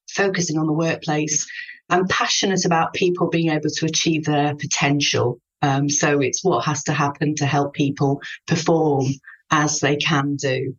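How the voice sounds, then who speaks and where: A woman from North Wales, a coach and HR professional, introducing herself earnestly and deliberately. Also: low-pitched. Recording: dull lo-fi sound.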